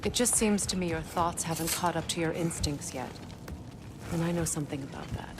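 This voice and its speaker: A woman's voice: deep voice, a little fast, noisy background, natural voice. An actress playing a proud, battle-hardened mature warrior queen in a video game, speaking frankly and firmly. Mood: measured, conciliatory. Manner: frank, probing, firm. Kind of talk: video game dramatic acting. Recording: loud game music behind.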